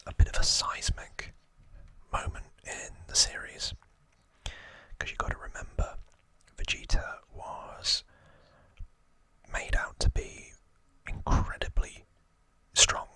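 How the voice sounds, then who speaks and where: A man speaks entirely in a whisper, as an ASMR host sharing trivia. His delivery is slow and halting, with hushed phrases set down a few words at a time. The whisper has a crisp, bright edge to it. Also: no echo.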